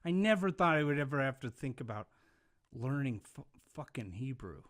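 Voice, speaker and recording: A man hosting a podcast interview, talking in an animated, rambling way with casual swearing. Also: mid-range voice, moderate pace. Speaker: podcast host.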